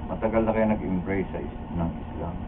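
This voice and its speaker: A man's voice: sits low, brisk. A man with a Filipino accent casually directing a radio drama cast and reading out lines as cues. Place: radio recording studio, mic far off. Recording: muffled phone-like audio, distant, faint noise.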